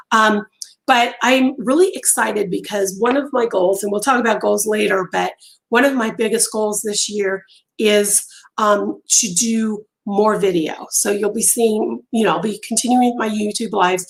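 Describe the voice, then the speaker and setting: A woman hosting a casual crochet live stream from home, chatty with many ums and pauses, her voice going hoarse and interrupted by throat clearing. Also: medium pitch, poor audio. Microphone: phone on a mount.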